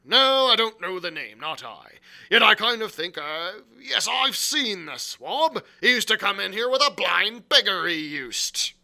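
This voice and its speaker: Crafty old-salt tavern keeper for audiobook: hearty, blustering, forceful, with big swoops in pitch. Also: male voice, sing-song, normal speed, creaky, full character voice. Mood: excited, confiding. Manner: blustering, emphatic, salty sailor talk. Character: loud, hearty, crafty one-legged seafaring tavern landlord. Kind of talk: audiobook character acting.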